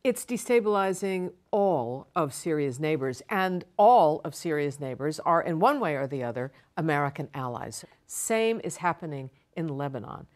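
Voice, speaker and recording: Woman guest expert, low voice, calm measured explanatory delivery for a broadcast interview. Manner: measured, explanatory, emphatic repetition.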